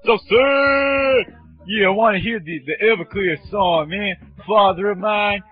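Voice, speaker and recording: Man putting on a high-voiced, rowdy street guy. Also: a little slow. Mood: cocky. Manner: swaggering, repetitive slangy talk. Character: brash, rowdy young street guy shouting out. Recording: muffled lo-fi audio over music.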